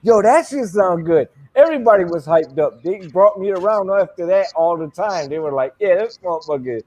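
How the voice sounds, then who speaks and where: A man's voice: high voice, animated, a little fast, darkish tone. Laid-back man in his forties. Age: 40s. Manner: loose, laughing storyteller, swears freely. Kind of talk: casual chat.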